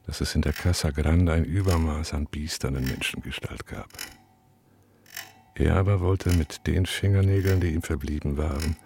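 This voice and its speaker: Radio drama casting: a weary, detached prisoner after torture; extremely low, gravel-edged voice, fragmented delivery. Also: male voice, mellow, slight background noise, full character voice. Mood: resigned. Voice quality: husky, breathy, gravelly. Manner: halting, fragmented, brooding inner monologue. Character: tortured male prisoner in a cell, numb, detached, bitterly weary. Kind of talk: literary radio play monologue.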